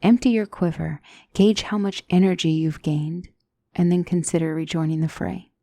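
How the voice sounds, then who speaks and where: A woman playing a gentle demonic tutor in a scripted ASMR roleplay, speaking softly and deliberately as a calm battle mentor. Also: lowish voice, no echo, close to an everyday voice. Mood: calm, instructive. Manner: measured, deliberate, soft-spoken, instructive.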